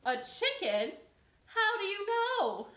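A woman's voice: very high-pitched, very animated, slow, fairly bright tone, reverberant, pitched above the performer's own voice, exaggerated voice. A woman voicing a curious, adventurous young female frog in a children's story, exclaiming with wonder. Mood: puzzled.

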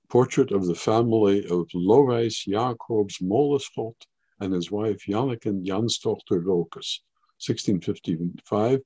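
An older man giving an online art history talk as a guide, measured and explanatory with occasional hesitations, over a webcam. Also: on the low side, dark tone, husky, dry recording. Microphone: webcam on video call.

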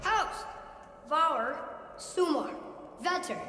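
A young performer playing an eager, earnest boy in a video game, with a high, youthful voice. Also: expressive, extremely slow, full character voice. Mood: focused, reading. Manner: reads out runes in short words. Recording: echoey hall reverb, loud music behind.

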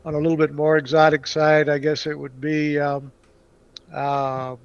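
A man's voice: fairly high pitch, flat delivery, normal speed, clear, resonant tone, muffled recording. A man who grew up in Puerto Rico, answering interview questions in a rambling way with many restarts and fillers. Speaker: guest.

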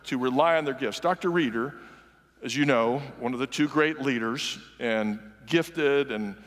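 A man's voice: a little high, fairly bright tone. A man in his sixties giving an earnest, conversational sermon in a large church sanctuary, moving around the stage as he preaches. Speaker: guest preacher. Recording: long reverb of a large church hall.

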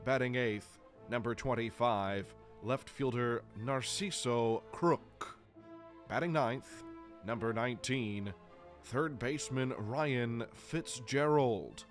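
A man's voice: medium pitch, slow. A man in his thirties with an American accent, announcing as a baseball public address announcer and stretching out names in a showman's delivery. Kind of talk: scripted sports PA announcing. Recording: music behind the announcements. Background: music.

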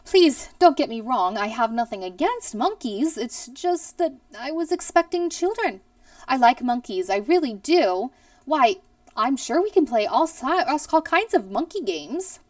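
Incredulous, high-pitched lady schoolteacher, as a woman reader plays her. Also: sing-song, a little slow, no echo, recorded from a distance. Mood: sweetly apologetic. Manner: exclaiming, incredulous. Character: terribly nice, bewildered, stubborn woman schoolteacher.

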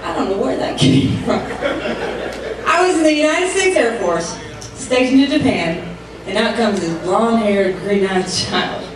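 A woman's voice: a little high, a little slow. Woman comic in her 40s on stage, really swoopy playful delivery, super echoey far off recording w crowd. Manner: animated, playful storytelling. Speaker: stand-up comedian. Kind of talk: live stand-up comedy routine. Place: comedy club stage, indoors. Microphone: handheld mic, captured by far camera. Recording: distant, echoey club recording with audience noise. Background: audience crowd noise and laughter.

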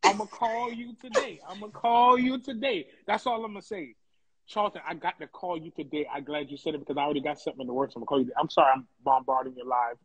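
A man's voice: fairly high pitch, fast, breathy edge, rough recording. A young American man chatting casually on a livestream into a phone held close, effusive and colloquial, often repeating himself to hype others up. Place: indoor room, live video stream.

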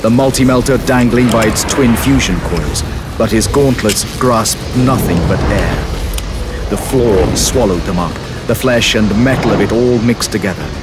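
A man's voice: lowish voice, moderate pace. A man giving dramatic scripted narration as the storyteller of an audio drama. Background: music.

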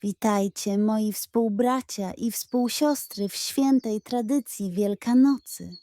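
Storybook pug, played by a woman: greedy, pompous male dog, pious airs, high mellow voice, slow delivery. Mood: solemn, slurred. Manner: pompous, unctuous.

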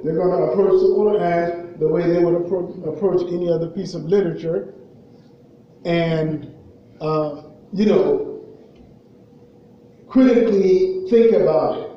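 A man with an American accent preaching a sermon in an emphatic way, repeating phrases and pausing between them. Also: sits high. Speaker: preacher giving a Friday khutbah. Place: indoor prayer hall, at a raised pulpit. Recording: muffled, very echoey hall, far from mic.

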